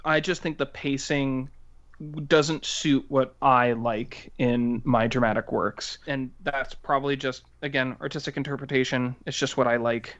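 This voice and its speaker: Halting, analytical man on a dull-sounding mic. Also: medium pitch, fairly even intonation, fairly bright tone. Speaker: podcast co-host and critic. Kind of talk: casual critical discussion.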